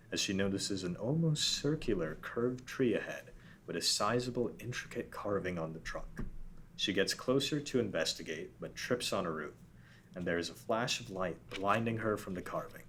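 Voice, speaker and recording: A man's voice: lowish voice, lively intonation, moderate pace, a bit bright, slight background noise. A man narrating stage directions at a casual table read, reading steadily.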